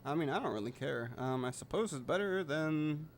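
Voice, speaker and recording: A man's voice: a little high, animated, moderate pace, slight background noise. A man giving offhand, hesitant let's-play commentary and reading dialogue choices aloud. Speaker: gamer playing through a game.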